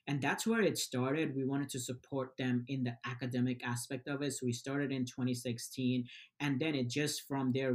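A man's voice: medium pitch, a little mellow. A man from Bangladesh, a nonprofit founder, answering interview questions earnestly with many fillers and "right?" tags.

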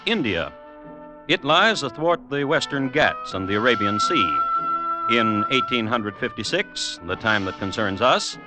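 Old-time radio host guy, dry n droll, voice rises and dips a lot, music under him. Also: mid-range voice. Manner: dry, droll, deliberate, mock-formal. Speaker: host and narrator. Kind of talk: 1950s radio storytelling, scripted narration.

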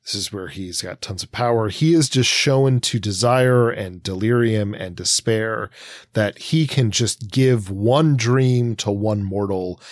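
A male podcast co-host with a fairly low voice works through story analysis casually. He explains his points in a halting, conversational way, recorded close and dry. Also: no echo.

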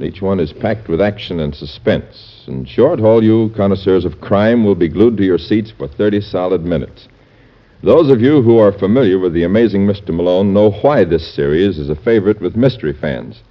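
Low-voiced American man, vintage radio announcer. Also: animated, a little fast. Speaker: network announcer. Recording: dull vintage radio recording.

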